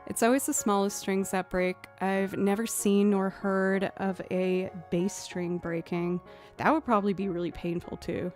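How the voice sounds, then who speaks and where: Chatty young woman with a lower voice. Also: level tone, normal speed, a little mellow, faint music behind. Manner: chatty, thinking aloud with fillers. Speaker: musician streamer. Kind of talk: casual live-stream chat.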